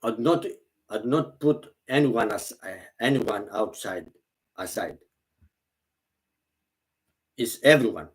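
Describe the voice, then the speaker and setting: A man whose first language is Greek, speaking English slowly and earnestly to the camera. He often halts, repeats himself and restarts his phrases. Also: lowish voice, lively intonation, rough recording. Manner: halting, repeats and restarts phrases, non-native grammar. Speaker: vlogger. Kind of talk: slow, earnest monologue to camera.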